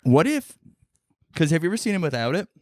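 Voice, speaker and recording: A man's voice: a little high, sing-song, fast, darkish tone, dry recording, studio quality. A tired man co-hosting a podcast, rambling playfully in casual comedic chat and trailing off mid-thought. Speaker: podcast co-host.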